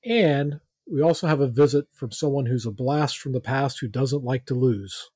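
A man's voice: medium pitch, normal speed, darkish tone, breathy edge, no room sound, studio quality. A man hosting a casual fan podcast, joking around and using lots of fillers. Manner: loose, filler-heavy, jokey. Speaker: podcast host.

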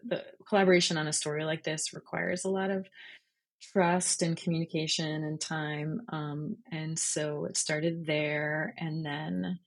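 Woman, low husky voice. Interview guest, thoughtful and candid, conversational delivery. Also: little pitch movement, measured pace. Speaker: interview guest, freelance journalist and writer. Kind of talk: casual reflective interview chat.

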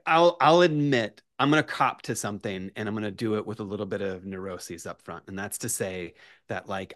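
A man speaking as a podcast guest, telling rambling personal anecdotes in a halting, effusive, self-deprecating way. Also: sits low, fairly bright tone, dry recording, studio quality.

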